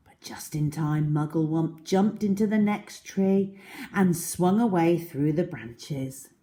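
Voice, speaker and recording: A woman reading a children's story aloud with dramatic, deliberate, expressive narration. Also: sits low.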